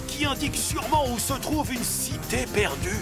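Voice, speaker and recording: An actor voicing a kindly, encouraging grandfather truck in a children's cartoon, animated and instructive. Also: high-pitched, normal speed, vocal fry, loud music behind the voice. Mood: explaining.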